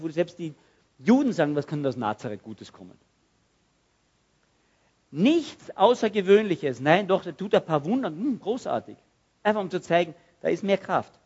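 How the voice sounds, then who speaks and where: Man, preacher, sermon, dramatic loud-and-hushed delivery, fairly high voice, dull lo-fi recording. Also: husky. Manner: dramatic swings from loud to hushed, acts out prayers.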